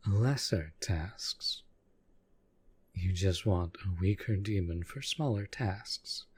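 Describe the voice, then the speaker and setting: A man playing a proud, possessive demon in a roleplay audio drama, speaking formally to the master he serves with menacing devotion in a husky, breathy voice. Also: low-pitched, full character voice. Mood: jealous, hurt. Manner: formal, measured, menacing yet deferential.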